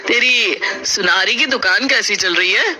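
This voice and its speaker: A man's voice: sits high, measured pace, low-quality recording. A man voicing a greedy, scornful, scheming village grocer in a cartoon moral story. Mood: smug, scheming. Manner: bullying, boastful, wheedling when in need. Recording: loud music behind the voice. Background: loud music.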